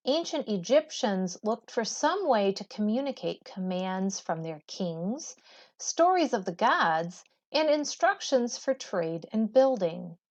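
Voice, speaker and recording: Slow-paced woman teacher reading aloud. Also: mid-range voice. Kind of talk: reading a textbook aloud to students. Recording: dull lo-fi recording.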